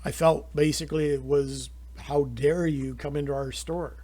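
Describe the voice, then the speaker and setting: A man's voice: a little high, fairly even intonation, moderate pace, mellow. A middle-aged man with a Canadian accent, talking through his opinions in a rambling, indignant monologue full of restarts. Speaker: channel host.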